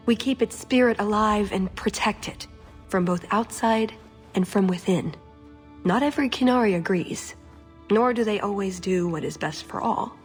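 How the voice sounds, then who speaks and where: A young female elf from a video game voiced by a woman. She is earnest and quick-witted with a cheeky streak. Her mid-range voice rings in a hall, with faint music behind it. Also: mid-range voice, a little mellow, natural voice. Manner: earnest, lightly playful. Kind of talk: video game character acting.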